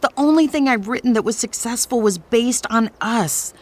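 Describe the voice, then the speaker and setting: A woman's voice: on the high side, normal speed, natural voice. A woman playing a florid, dramatic romance novelist wounded by divorce, in an audio comedy drama. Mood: downcast, self-pitying. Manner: theatrical, florid.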